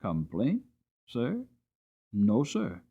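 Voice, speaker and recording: A man's voice: medium pitch, huge pitch swings, very slow, vocal fry, husky, narrow, tinny audio, full character voice. A man voicing a staunch, emphatic Victorian coachman. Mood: staunch, indignant. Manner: emphatic, decided, respectful. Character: loyal, plain-spoken Victorian coachman, staunch and earnest. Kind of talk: dramatic audiobook character reading.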